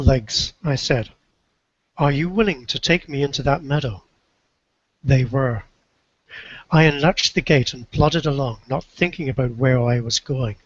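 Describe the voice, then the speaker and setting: A man reading a first-person literary narrative aloud in a measured, reflective storytelling way, with a husky voice. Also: mid-range voice, dry recording, dull audio. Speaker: co-reader of a book.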